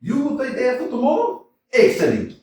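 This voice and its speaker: A man playing a loud, blustering landowner. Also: wildly swooping pitch, brisk, slight background noise, exaggerated voice. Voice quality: booming, theatrical. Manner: shifts between shouting and mock-tender crooning. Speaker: amateur actor in a staged reading. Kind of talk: staged play reading. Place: small community theater stage. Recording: echoey hall from a distance.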